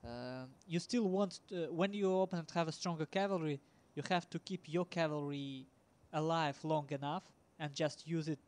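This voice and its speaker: A man with a Russian accent commentates a strategy game match in a relaxed, halting way. His voice sits a little high for a man, and he fills his pauses with ums and uhs and breaks into small laughs. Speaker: esports commentator.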